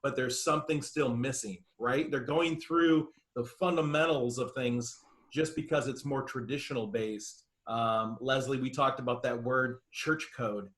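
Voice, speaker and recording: A man's voice: medium pitch, steady tone, unhurried, a bit bright. A man discussing informally on a group video call, agreeing and circling his way to his point with some hesitation. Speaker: participant commenting.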